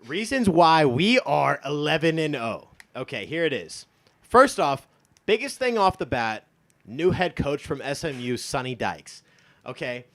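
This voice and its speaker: A man's voice: fairly high pitch, big pitch swings, unhurried, a bit bright. A man in his twenties with an American accent, chatting casually about sports as a show guest, emphatic, opinionated and loose with swearing. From United States, born in Texas and from Iowa.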